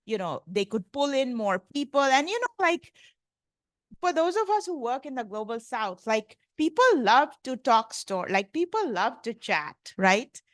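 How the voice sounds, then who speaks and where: A woman's voice: a little high, very animated, unhurried, no echo. Chatty woman lecturer, dry close sound. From India. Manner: chatty, many fillers like "like" and "you know". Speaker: professor lecturing. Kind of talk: casual conference lecture.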